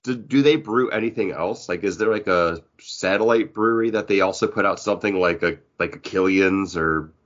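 A man's voice: low voice, moderate pace, hardly any pauses, fairly bright tone, highs cut off. A man hosting a podcast in casual, joking chat. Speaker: podcast host.

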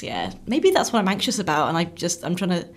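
A woman's voice: fairly low pitch, very animated, a little fast. A woman in her late twenties chatting casually as an interview guest, talking about herself in a rambling, self-deprecating way. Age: late 20s. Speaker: interview guest, musical comedian. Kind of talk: casual chat.

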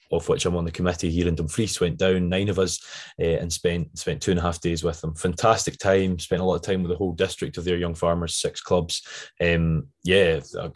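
A Scottish man with a low, slightly croaky voice, chatting casually and ramblingly as he introduces a podcast. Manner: chatty, rambling with frequent tangents. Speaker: podcast host.

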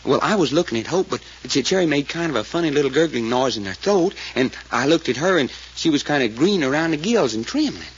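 Folksy male sidekick, drawl, very animated, slightly high, for a dull-sounding vintage radio mystery. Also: quick pace, hardly any pauses, slightly rough. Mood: earnest recounting. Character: folksy, excitable country-talking male detective sidekick. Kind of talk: 1940s radio drama acting. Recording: muffled, noisy old radio recording.

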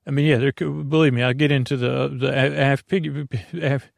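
A man hosting a bedtime podcast in a creaky voice, meandering gently through silly tangents and halting self-corrections. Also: mid-range voice, steady tone, no echo, studio quality. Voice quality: creaky, gravelly. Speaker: podcast host. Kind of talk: rambling, soothing bedtime monologue.

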